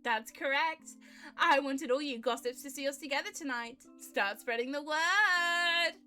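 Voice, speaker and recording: A woman's voice: high-pitched, measured pace, bright, forceful tone, soft background music. A woman voicing a suave, possessive man from a visual novel, with a haughty, theatrical, formal delivery. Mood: proud. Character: suave, possessive man showing off his boyfriend. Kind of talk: visual novel read aloud.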